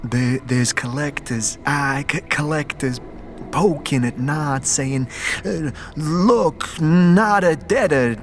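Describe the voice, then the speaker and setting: A man playing a broken, frightened, simple-minded vagrant in an audio drama, stammering and halting as he muddles through, speaking of himself in the third person. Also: fairly high pitch, soft background music. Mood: agitated.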